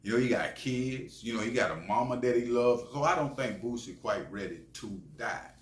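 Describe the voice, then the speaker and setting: A man's voice: mid-range voice, animated, moderate pace. A man giving opinionated, preachy street-slang commentary as a channel host, speaking emphatically. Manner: preachy, emphatic, often tagging phrases with slang address. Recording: echoey room sound.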